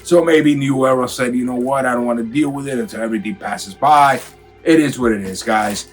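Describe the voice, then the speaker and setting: A raspy-voiced man with a cold, from Miami, hyping up a casual clothing unboxing for his viewers. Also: mid-range voice, brisk, faint music behind. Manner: hyped, slangy, talks straight to viewers. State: has a cold. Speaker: sneaker and clothing vlogger. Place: home room.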